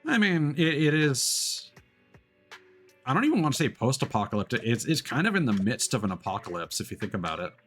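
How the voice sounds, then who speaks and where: A man's voice: medium pitch, big pitch swings, brisk, a bit bright, soft background music. A man livestreaming a video game, chatting casually and commenting as he plays.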